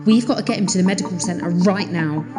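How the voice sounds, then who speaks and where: A woman's voice: fairly low pitch, fast, lightly acted. A woman playing a blunt, urgent starship crew member in an audio drama. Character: urgent, sharp-tongued young female starship crew member. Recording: long reverberant hall, music behind. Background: music.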